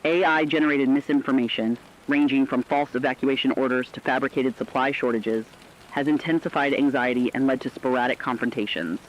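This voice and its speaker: A man voicing a serious news correspondent in a dystopian radio broadcast, reading formal, measured scripted reports. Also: medium pitch, slight background noise, close to an everyday voice, rough recording.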